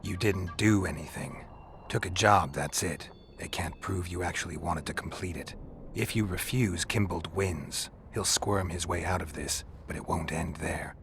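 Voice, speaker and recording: Very deep, gruff monster hunter. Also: male voice, moderate pace, slight background noise. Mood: persuasive. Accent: American. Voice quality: low gravelly growl, breathy at phrase ends. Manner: deadpan, terse, dryly sardonic. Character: gruff, stoic male monster hunter for hire, dry and blunt. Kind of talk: video game voice acting.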